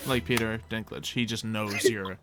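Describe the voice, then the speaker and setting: A man runs a tabletop roleplaying game as game master. His voice is bright and mid-pitched, casually improvising as he goes, over faint music. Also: steady tone, normal speed.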